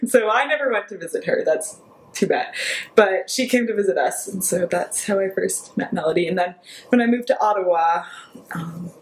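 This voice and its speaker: A woman's voice: mid-range voice, expressive, moderate pace, low-quality recording. A woman talking casually in an interview about her friendship with a coworker, chatty and full of fillers. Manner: chatty, uses lots of "like" and "so". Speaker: campus minister supervisor.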